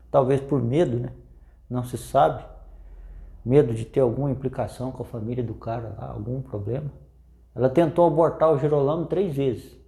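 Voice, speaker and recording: A man's voice: medium pitch, warm tone. A man with a Brazilian accent presenting history in informal, unscripted storytelling, conversational and often checking in with tag questions.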